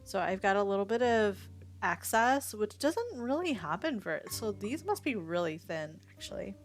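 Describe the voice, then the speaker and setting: A woman's voice: medium pitch, animated, normal speed. A young woman with a Midwestern American accent, chatting casually with her stream viewers, giggling and rambling as she reads chat aloud. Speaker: Twitch streamer. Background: faint music.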